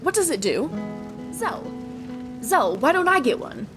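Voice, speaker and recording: A woman voicing an excitable, covetous young tinkerer rogue in a video game, eager and full of questions. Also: high voice, measured pace, music in the background. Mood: curious. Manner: eager, inquisitive, pleading. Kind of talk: video game companion dialogue.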